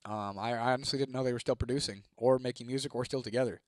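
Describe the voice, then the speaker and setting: A man's voice: medium pitch, fairly even intonation, quick pace, dry recording, clean studio sound. Casual swearing streamer guy. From Toledo, Ohio, United States. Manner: laid-back, swears freely, laughs often. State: voice getting hoarse. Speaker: livestreamer. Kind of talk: casual live-stream chat reading comments.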